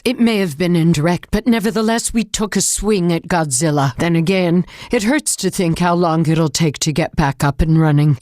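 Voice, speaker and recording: An actress playing a tough, resolute government leader in a dramatic video game, speaking in a blunt, commanding way. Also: female voice, lowish voice, darkish tone, no echo, studio quality, close to an everyday voice. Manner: blunt, commanding, hard-nosed. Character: tough, resolute female government leader fighting a giant monster.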